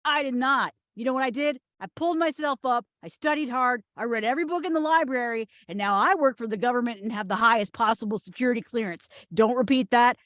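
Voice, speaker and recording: A woman acts out a blunt, oddball female friend in a comedy script, full of swagger. Her voice sits on the high side and she pushes each point with emphatic, deadpan conviction. The sound is dry and close. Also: fast, few pauses, no echo, lightly acted. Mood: earnest boasting. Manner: emphatic, forceful, deadpan boasting. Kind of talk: screenplay table read, comedic acting.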